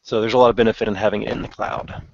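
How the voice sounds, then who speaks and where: A man leading an internal software demo in casual meeting talk, informal and given to joking. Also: lowish voice, level tone, racing, creaky, lo-fi sound.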